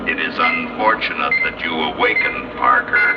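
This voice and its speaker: An actor playing an inhuman alien intelligence that speaks through a man's body, in an old radio drama. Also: extremely low pitch. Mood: cold. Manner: slow, flat, detached delivery. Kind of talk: 1960s radio drama acting. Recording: roomy, distant, muffled old radio recording with heavy hiss.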